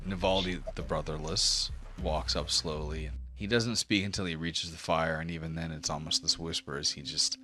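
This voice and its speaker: A man's voice: extremely low pitch, fairly even intonation, moderate pace, bright, forceful tone, slight background noise. A man working as game master, narrating a tabletop fantasy game descriptively with casual asides.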